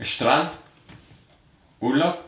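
A man's voice: a little high, extremely slow, fairly bright tone. A young man bantering casually and playfully during a party game, recorded by a camera in a small bedroom. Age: young adult. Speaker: player in a family charades game. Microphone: camera a short distance away. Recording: echoey room, faint noise.

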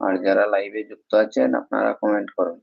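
A man's voice: on the low side, level tone, fast, gravelly, breathy edge. A man hosting a casual live stream, repeatedly addressing viewers as friends and urging them to join in. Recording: dull, lo-fi live stream audio.

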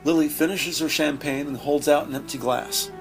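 A man's voice: a little high, fairly even intonation, normal speed, vocal fry, poor audio. A man narrating a scripted audio drama in descriptive prose. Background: background music.

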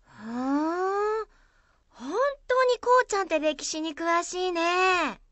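An inquisitive young girl voiced by a woman for a radio drama, high and very animated. She sounds admiring and curious, in a dry close recording. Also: dry recording, exaggerated voice. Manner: inquisitive, drawn-out musing.

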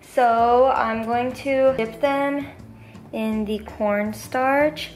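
A woman's voice: fairly high pitch, fairly even intonation, slight background noise. A young woman hosting a cooking vlog in her home kitchen, talking casually through each step with a few fillers. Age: young adult. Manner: slow, chatty, thinks aloud with fillers. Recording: background music.

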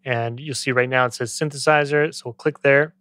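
A man in his thirties giving a conversational, step-by-step software tutorial as an instructor, close to the microphone. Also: mid-range voice, fairly even intonation, fairly quick, no room sound. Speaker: music production tutorial instructor.

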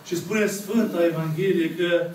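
A Romanian-accented priest in his thirties delivers a slow, emphatic sermon with long pauses. His voice sits high for a man. It reaches the microphone from across a small, echoey church, with faint room noise underneath. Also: fairly even intonation, a little mellow, recorded from a distance. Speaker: Orthodox priest. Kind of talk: sermon retelling a parable. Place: small church full of icons, congregation seated. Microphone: camera at the back of the room.

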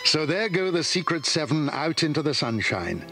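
A man's voice: on the high side, big pitch swings, moderate pace. A man narrating a scripted children's story. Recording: music behind the voice.

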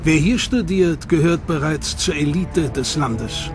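A man narrating a documentary in a measured, dramatic way. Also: on the high side, expressive. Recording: narration over loud music.

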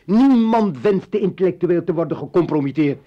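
An actor playing a bitter, militant revolutionary and former journalist in a radio play, ranting and taunting in halting bursts. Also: male voice, high voice, animated. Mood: indignant. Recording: dull vintage radio drama recording.

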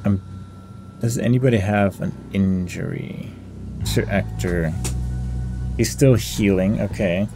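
A man's voice: on the low side, takes it slowly, pauses often. A man giving casual gameplay commentary, thinking aloud and muttering through options as he reads game text. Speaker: gamer hosting a playthrough. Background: game music.

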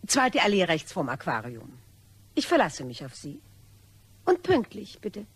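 An actress playing a calculating, mockingly courteous blackmailer in a German radio crime drama, with silky politeness and veiled threats. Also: female voice, on the low side, huge pitch swings, unhurried, breathy edge, heavily acted. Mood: pleasantly businesslike.

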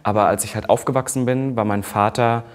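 A man's voice: mid-range voice, fairly even intonation, fairly quick. A man in his mid-twenties speaking candidly and emphatically in a personal conversation. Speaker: podcaster and host.

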